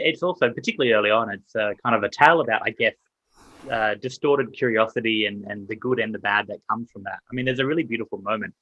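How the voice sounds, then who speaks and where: A man's voice: fairly low pitch, pushed and bright, no echo, muffled recording. A man interviewing an author at a festival, reflective and hesitant.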